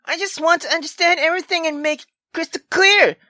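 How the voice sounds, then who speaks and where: Girl doing a drunk jealous wife, high shrill voice, super accusing n sarcastic, visual novel. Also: a little fast, sharp tone, no room sound, clean studio sound. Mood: angry, demanding.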